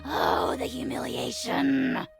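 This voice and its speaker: A performer voicing a tiny, arrogant, bratty dragon creature in a video game, shrill and scratchy, with bombastic, taunting, theatrical whining. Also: wildly swooping pitch, slow, gravelly, soft background music, full character voice. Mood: exasperated, scornful. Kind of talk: animated video game voice acting.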